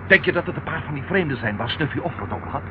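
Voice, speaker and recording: Man as a quick, high-voiced river policeman. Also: lively intonation, close to an everyday voice. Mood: alert. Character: alert, eager river police boatman. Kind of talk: old radio drama acting. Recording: dull, noisy old radio recording.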